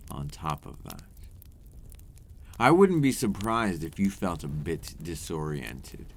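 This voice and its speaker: Man playing a very deep, slow, seductive vampire. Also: slight background noise, exaggerated voice. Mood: teasing, menacing. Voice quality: deep, breathy at moments. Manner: slow, teasing, condescending, formal old-fashioned wording. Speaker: ASMR roleplay voice actor. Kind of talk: ASMR roleplay monologue.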